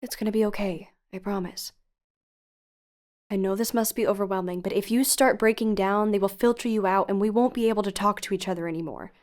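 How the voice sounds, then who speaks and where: A woman's voice: mid-range voice, a little fast, darkish tone, lightly acted. A woman acting as an earnest, no-nonsense guide in an audio drama, speaking with urgent, stern emphasis. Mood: urgent, reassuring. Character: earnest, no-nonsense woman guiding a reckless youngster.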